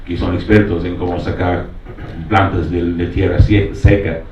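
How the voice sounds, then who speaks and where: A man's voice: deep voice, flat delivery, normal speed. A man who is not a native speaker, talking reflectively on a panel as a documentary filmmaker, hesitantly searching for words. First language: not Spanish. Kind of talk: reflective panel talk with personal account. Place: roomy panel venue. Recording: echoey, distant mic, dull, faintly noisy.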